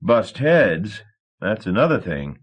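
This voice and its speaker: A man voicing a brutish riverboat thug who taunts slowly and menacingly. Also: fairly low pitch, very animated, husky, heavily acted. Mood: taunting. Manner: slow, taunting.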